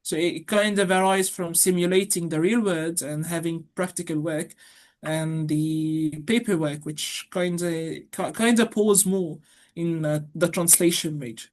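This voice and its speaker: A man's voice: fairly high pitch, a little slow. Chatty young man on a call. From Egypt. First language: Arabic. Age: young adult. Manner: chatty, enthusiastic, repeats words when excited. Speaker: university student guest. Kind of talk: casual interview chat. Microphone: video call.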